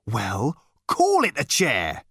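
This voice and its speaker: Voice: mid-range voice, huge pitch swings, slow, fairly bright tone, husky, no room sound, studio quality, exaggerated voice. A man voicing a pompous, testy management consultant with a huffy, officious manner in an audiobook.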